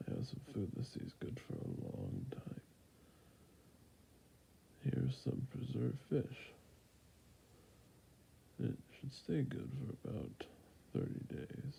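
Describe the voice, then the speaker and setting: A man playing a friendly, patient fantasy shopkeeper in an ASMR roleplay, speaking in a soft, breathy near-whisper with creaky endings and a gentle sales manner. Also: medium pitch, a little hiss or hum, exaggerated voice, low-quality recording.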